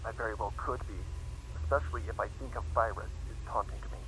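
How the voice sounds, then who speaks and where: A man's voice: mid-range voice, steady tone, fast talker, fairly bright tone, lightly acted, poor audio. A man reading as an anxious, weary young female pony scholar in a dramatic fan fiction audio log. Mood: uneasy, unraveling.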